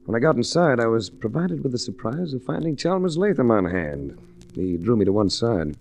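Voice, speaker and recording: A man's voice: medium pitch, big pitch swings, normal speed, gravelly, darkish tone. An actor playing a wry, hard-boiled adventurer-investigator who narrates his own case in a 1940s radio drama. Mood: wry narration. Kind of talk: 1940s radio detective acting. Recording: restored 1940s radio recording.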